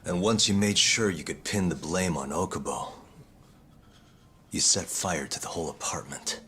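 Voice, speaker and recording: An actor playing a steely investigator in a video game, speaking in a measured, accusing way over music. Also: male voice, low-pitched, a bit bright, slight background noise. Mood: quiet menace. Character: steely adult male investigator confronting a suspect. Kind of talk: English video game dub acting.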